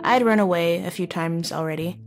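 A woman playing a wounded, wry, guarded teenage runaway in a halting, confessional audio drama monologue. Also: on the low side, music in the background, natural voice. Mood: bitter, reflective. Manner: halting, conversational, with pauses and wry asides. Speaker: narrator of audio horror story. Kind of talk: first-person dramatic monologue, confessional storytelling.

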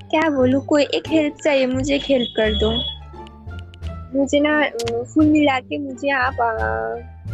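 A woman's voice: high-pitched, darkish tone, dull audio. A young woman on a game voice chat, pushy and teasing, then indignant as she argues with a friend. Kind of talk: casual game-lobby chat.

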